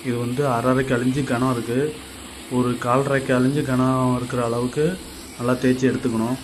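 A man's voice: medium pitch, little pitch movement, a little fast, slight background noise. A man from Tamil Nadu, a carpenter explaining step by step how to make a tool, casual and repetitive. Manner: plain step-by-step explaining, repeats himself. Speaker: carpenter teaching on his channel.

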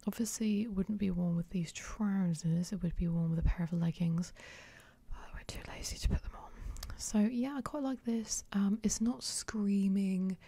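A woman's voice: on the low side, dark tone. Whispering woman doing ASMR. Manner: hesitant, unsure, lots of ums. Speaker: ASMR creator. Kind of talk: whispered ASMR clothing try-on.

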